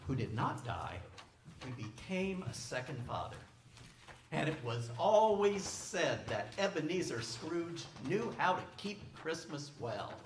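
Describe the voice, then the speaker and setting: A man narrating a classic story in a dramatic storybook style on stage. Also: a little high. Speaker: narrator in a stage audio theater production. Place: indoor library stage performance. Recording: echoey stage recording with faint noise.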